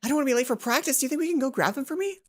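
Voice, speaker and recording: A woman voicing a sweet high-school girl who turns clingy and snappish in a visual novel. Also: lively intonation, racing. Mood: pleading.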